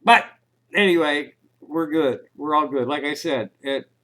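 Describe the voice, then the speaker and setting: A man making a casual phone call, talking informally in a rambling, halting way. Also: fairly high pitch, sharp tone. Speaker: caller.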